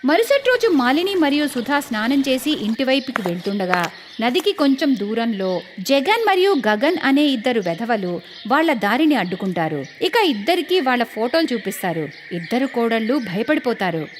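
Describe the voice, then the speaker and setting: A woman's voice: fairly high pitch, very animated, moderate pace, a little hiss or hum. A woman narrating a scripted moral story in a storytelling style.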